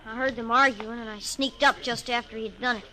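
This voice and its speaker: A woman's voice: a little high, fairly even intonation, brisk, pushed and bright, a little hiss or hum, low-quality recording. A woman playing a plain-spoken frontier woman in an old radio western, simple and direct. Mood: earnest. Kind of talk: 1950s radio western acting.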